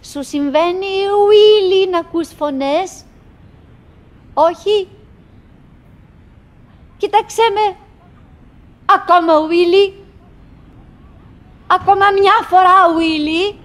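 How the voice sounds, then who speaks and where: A woman's voice: very high voice, pauses often. An actress playing a tender, wistful, chattering wife who coaxes her silent husband in declamatory stage style. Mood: pleading. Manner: declamatory, coaxing, with dramatic swings. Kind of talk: theatrical stage acting. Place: theatre stage, live recording.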